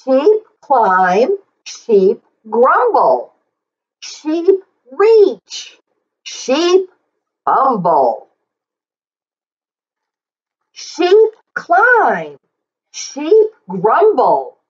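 Middle aged lady doing kids read aloud, highish voice, encouraging and sing-songy, bit muffled recording. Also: extremely slow, pauses often, husky. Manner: animated, exaggerated sing-song delivery with encouraging asides. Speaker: children's story reader and teacher. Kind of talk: read-aloud for young children, repeating lines slowly. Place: small plain indoor room.

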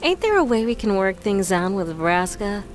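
A woman's voice: mid-range voice, big pitch swings, moderate pace, faint music behind, lightly acted. A woman playing a scrappy, loyal young woman with colloquial street talk in a fantasy audio drama. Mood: pleading.

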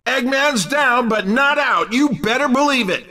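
A man voicing an excitable, cheeky race commentator in a video game, exclamatory and teasing. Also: very high-pitched, unhurried, distant mic, exaggerated voice. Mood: excited, teasing.

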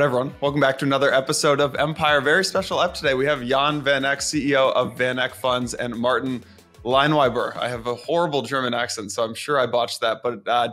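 A man's voice: medium pitch, a bit bright. Young man, podcast host, upbeat, chatty, studio mic, indoor office. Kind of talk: casual interview hosting. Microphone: studio mic on boom arm.

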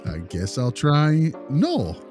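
A man's voice: a little high, huge pitch swings, takes it slowly, loud music behind the voice. A man speaking as a behavior analyst in an improvised phone role-play, polite and explanatory with hesitant phrasing. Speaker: behavior analyst, podcast host.

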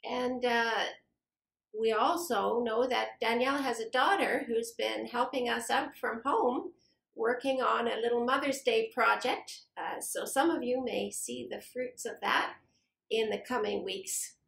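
Middle-aged woman, higher gravelly voice, gentle questions, echoey office. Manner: gentle, measured questions with fillers. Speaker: church officer interviewer. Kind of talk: interviewing a staff member. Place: small church office, indoors.

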